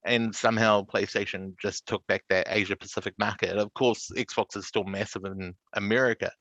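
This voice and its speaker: A man gives informal explanations on a podcast. His voice is fairly low yet bright and forceful. The recording is dry and a touch muffled. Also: fairly even intonation, moderate pace, dry recording. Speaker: podcast panellist. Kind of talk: casual podcast discussion.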